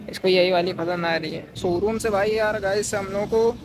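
A man's voice: high voice, steady tone, moderate pace. A young man filming a casual vlog on a phone held at arm's length, chatting and teasing his friend in slangy banter as he walks through city streets and markets. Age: young adult. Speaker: vlogger. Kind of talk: casual handheld travel vlog. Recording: phone recording with loud crowd. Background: crowd noise.

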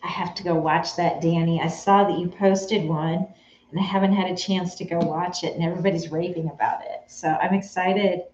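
Woman, forties, from Texas, casual craft live stream, lowish voice, roomy home room. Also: fairly even intonation, moderate pace, a little mellow, slight background noise. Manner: chatty, answers viewers by name while working with her hands. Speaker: craft vlogger answering live chat. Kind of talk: casual live-stream chat while sewing. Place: home sewing room, indoors. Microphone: camera on a tripod, a few feet away. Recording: roomy, slightly dull home-camera sound.

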